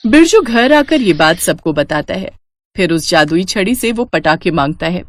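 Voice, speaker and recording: Animated woman storyteller. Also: fairly low pitch, moderate pace, no echo, clean studio sound. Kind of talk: scripted narration of a moral story.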